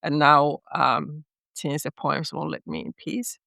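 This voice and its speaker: A middle-aged woman from Finland with a Finnish accent, a guest poet talking in an unhurried way with pauses as she searches for words. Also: deep voice, little pitch movement, vocal fry, bright, forceful tone, no room sound, clean studio sound. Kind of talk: podcast interview.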